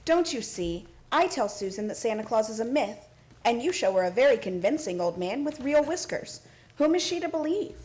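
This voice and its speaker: A woman as firm, highish-voiced mother. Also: very animated, normal speed, a little mellow. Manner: composed, firm. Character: protective, no-nonsense mother, guarded and practical. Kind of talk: radio play acting.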